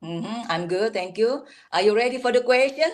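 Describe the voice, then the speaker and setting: A woman from Indonesia, hosting a live online talk in a friendly, halting way with many fillers. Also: a little high, expressive, a loud crowd. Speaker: host.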